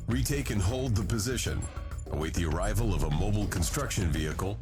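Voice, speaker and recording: An actor playing a stern military command announcer in a video game, giving clipped, authoritative briefings. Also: male voice, deep voice.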